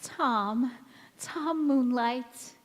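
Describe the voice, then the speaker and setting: A woman acting a mysterious, wistful young stranger in a stage radio drama, speaking in a gentle, cryptic way. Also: fairly high pitch, extremely slow. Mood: tender. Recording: echoey hall with long reverb.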